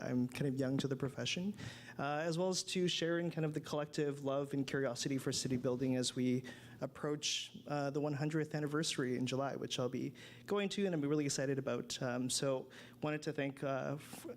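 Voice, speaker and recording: A man's voice: mid-range voice, fairly even intonation, a little fast, a little hiss or hum. A man from Canada, a city planner, answering on a live panel in an earnest and grateful way, speaking in short hesitant bursts into a stage microphone. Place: conference stage before a live audience.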